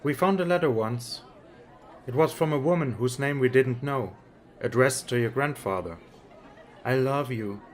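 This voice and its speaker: A weary middle-aged father, acted by a man, in an audiobook drama with faint chatter behind him. He is gently frank and resigned, speaking casually at a medium pitch. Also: normal speed, close to an everyday voice. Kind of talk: amateur audiobook drama acting. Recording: background crowd chatter.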